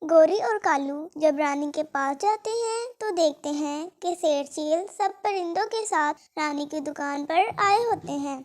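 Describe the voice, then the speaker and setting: A woman's voice: high voice, measured pace, hardly any pauses. A woman narrating a scripted children's cartoon story.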